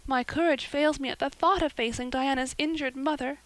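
A woman reading an audiobook, voicing a dramatic, tearful orphan girl who speaks in high-flown, breathless wails. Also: expressive, normal speed, no room sound. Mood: pleading, woeful. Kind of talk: audiobook character voice.

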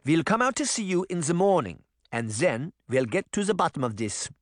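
A man plays an elderly storybook grandmother, firm and protective of family. The voice is lifted well above a man's usual range, insistent and plain-spoken, with lively rises and falls in a dry recording. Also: fairly high pitch, normal speed, dry recording, voice pushed up. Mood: calming, resolute.

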